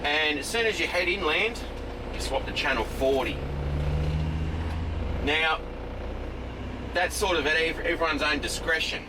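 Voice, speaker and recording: A laid-back Australian man with a slightly high-set voice talks casually, rambling along in slang with the odd swear word. He sounds like a truck driver sharing tips from the cab, with loud engine and road noise all around him. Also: measured pace, pauses often. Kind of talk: casual unscripted vlog talk. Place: inside a moving truck cab.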